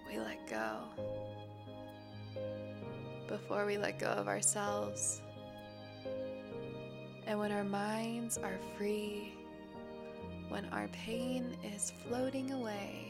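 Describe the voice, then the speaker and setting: A slightly congested woman podcast host speaking a guided meditation in a gentle, soothing, breathy voice that often drops toward a whisper. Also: mid-range voice. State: a little congested. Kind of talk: guided meditation, then casual podcast talk.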